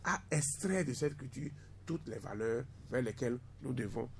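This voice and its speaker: A man's voice: medium pitch, expressive, normal speed, vocal fry, a little mellow. A man in his fifties, a university lecturer speaking as a radio guest, emphatic and fond of repeating words for stress. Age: 50s. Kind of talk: radio discussion.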